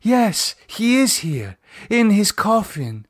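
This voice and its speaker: A man voicing a calm, concerned woman in a horror audiobook, gently and patiently reasoning with a madman. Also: high voice, slow pace, pitched above the performer's own voice, full character voice. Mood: reassuring, firm. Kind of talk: audiobook character voice.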